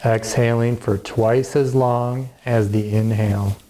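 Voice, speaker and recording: A man gently and calmly guiding a yoga and relaxation class in measured phrases with pauses. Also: mid-range voice. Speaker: yoga teacher. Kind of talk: guided yoga and relaxation instruction.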